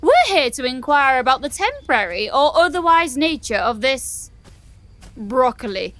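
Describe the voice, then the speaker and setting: Snobbish titled lady: female, shrill-high, theatrical swoops, pompous panto grandeur. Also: sing-song, moderate pace, a bit bright. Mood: haughty. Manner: pompous, haughty, over-enunciated.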